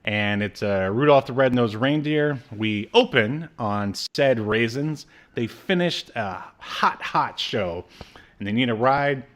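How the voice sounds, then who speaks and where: A man in his thirties chats as a podcast co-host. His voice sits at an average pitch. He recaps in a halting, casual way, piece by piece. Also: a bit bright. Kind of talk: casual recap and review chat. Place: small indoor room, video call.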